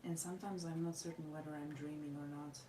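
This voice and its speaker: A tired woman from Croatia with a Croatian accent, chatting casually while she draws, speaking in measured, formal phrases with frequent pauses. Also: low voice, steady tone. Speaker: artist vlogger. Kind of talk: casual chat while drawing. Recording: roomy, distant mic with noisy ambience.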